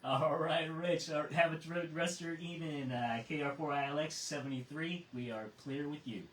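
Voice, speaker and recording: Man, middle-aged or older, radio net controller, casual procedural talk, halting, echoey room, faint noise. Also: fairly high pitch, fairly even intonation, a bit bright. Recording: roomy, distant mic, faint noise.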